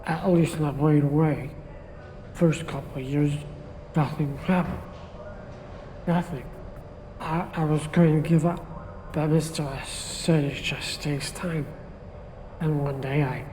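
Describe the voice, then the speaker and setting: A man playing a soft, halting homeless gardener. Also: fairly high pitch, fairly even intonation, long reverb tail, slight background noise, low-quality recording. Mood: wistful. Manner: halting, soft-spoken, hesitant. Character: gentle simple homeless man, gardener suspect. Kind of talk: audio drama acting.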